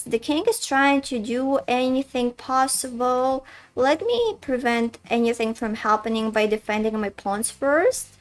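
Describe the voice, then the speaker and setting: Woman, Russian accent, slightly high voice, chatty live chess commentary, faint music behind. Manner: chatty, addresses chat as guys, thinks aloud. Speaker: chess streamer. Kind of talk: live-stream commentary while playing.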